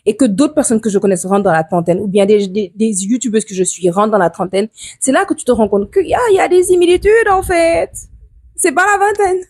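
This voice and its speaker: A woman, co-hosting a casual podcast chat with her best friend, talking quickly and slipping into English and Wolof. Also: a little high, a little mellow, no room sound, clean studio sound. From Senegal. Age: 30s. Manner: quick, chatty, mixing French with English and Wolof. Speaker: podcast co-host.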